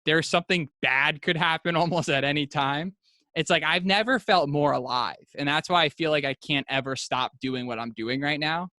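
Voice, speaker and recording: A man's voice: a little high, normal speed, pushed and bright, no room sound, clean studio sound. A man hosting a casual podcast, telling stories in a laid-back, slangy way. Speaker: podcast host.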